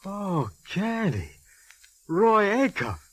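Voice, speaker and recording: Wisecracking police lieutenant, very animated. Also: male voice, high voice, very slow, husky, no room sound, full character voice. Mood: grumbling, jealous. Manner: sardonic, wisecracking. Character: gruff, jealous, wisecracking male police lieutenant. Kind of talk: 1940s radio acting. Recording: vintage 1940s radio recording.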